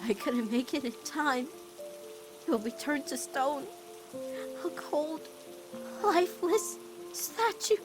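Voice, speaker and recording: Woman voicing an anguished, very high-pitched teenage girl. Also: animated, over music. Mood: haunted, subdued. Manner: dramatic, halting, pleading delivery. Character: anguished teenage girl, guilt-ridden, devoted to girlfriend. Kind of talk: emotional animated-series fan comic dub.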